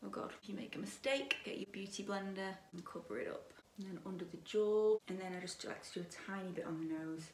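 Woman, twenties, beauty vlogger, opinionated chatter, slightly croaky, echoey room. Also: mid-range voice, brisk, few pauses, distant mic, a little hiss or hum. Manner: gossipy and opinionated, talks while applying makeup. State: a bit croaky. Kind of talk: chatty makeup tutorial. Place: bedroom dressing table with lit mirror, indoors.

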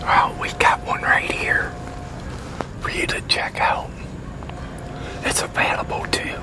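A man in his sixties from the United States whispering a hesitant ASMR roleplay as a leasing agent. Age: 60s. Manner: hesitant, halting. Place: outdoor covered picnic pavilion with metal tables.

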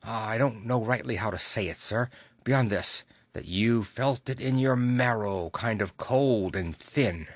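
A man's voice: fairly low pitch, measured pace, dry recording. A man voicing an anxious, superstitious Victorian butler in an audiobook, hesitant and respectful. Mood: uneasy, hesitant. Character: anxious, deferential Victorian male butler, plain-spoken and superstitious.

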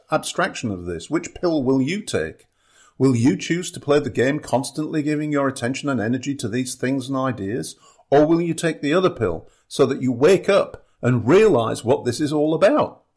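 Husky-voiced man giving a reflective monologue. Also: mid-range voice. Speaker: podcast host.

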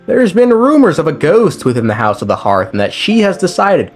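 Young man with lively pitch, casual recap. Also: fairly quick. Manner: slangy, profane, rambling, wryly joking. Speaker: video creator recapping a game story. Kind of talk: casual story recap commentary. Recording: music behind the voice. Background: background music.

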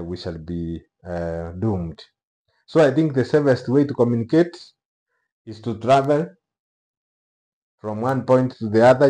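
A middle-aged man with a Kenyan accent giving unscripted news commentary to camera, speaking haltingly in short phrases. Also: fairly low pitch, mellow. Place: small office room, indoors.

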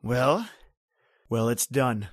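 A man's voice: medium pitch, very animated, drawn-out words, no echo, clean studio sound, exaggerated voice. An actor playing a grim factory overseer, a man with a scornful, declamatory delivery in a radio horror drama. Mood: grim, challenging. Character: grim, taunting factory overseer named Williams. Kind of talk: old-time radio horror drama acting.

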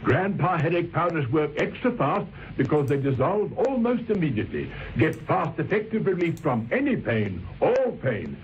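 An actor as an emphatic announcer in an old radio commercial. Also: male voice, fairly high pitch, sing-song, normal speed, hardly any pauses. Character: emphatic male advert announcer. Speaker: advert announcer. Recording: dull old radio, faint noise.

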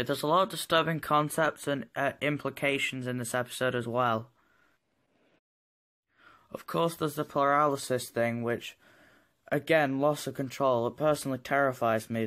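A young adult man giving countdown review commentary, with hesitant, halting phrasing. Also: medium pitch, fairly bright tone, dry recording. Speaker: video maker reviewing cartoons. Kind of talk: top ten countdown commentary.

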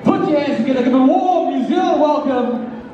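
A man's voice: extremely high pitch, expressive, brisk, very noisy. A man announcing guests to the stage and hyping the crowd. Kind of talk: stage introductions. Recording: distant, dull PA in echoey hall.

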